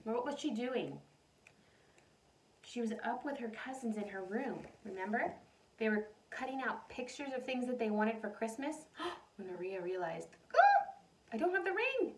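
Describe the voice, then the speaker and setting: A woman reading a storybook aloud to children in an expressive, animated way. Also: fairly high pitch, moderate pace, a little hiss or hum. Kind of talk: expressive storybook read-aloud with questions to listeners. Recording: roomy echo, distant mic.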